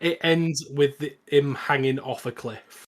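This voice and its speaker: A man co-hosting a podcast, leading a casual film recap in a halting, self-correcting way. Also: medium pitch, steady tone.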